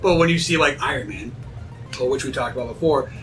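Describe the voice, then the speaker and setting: A man's voice: medium pitch, lively intonation, fast talker, fairly bright tone, recorded from a distance, soft background music. A man hosting a casual panel chat, rambling and slipping into acted-out bits of dialogue. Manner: rambling, acts out imagined dialogue. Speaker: host of a panel discussion. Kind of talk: casual chat about video game films.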